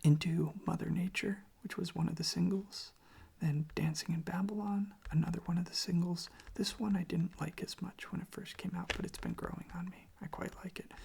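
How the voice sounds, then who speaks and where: An ASMR host speaking in a soft, breathy, husky whisper, rambling casually and gently in an informal, hushed way. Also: mid-range voice, fairly even intonation, fairly quick, slight background noise.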